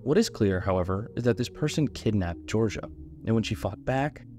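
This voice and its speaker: A man's voice: fairly low pitch, expressive, normal speed, a little mellow, soft background music. A man hosting a video essay, giving scripted narration and commentary.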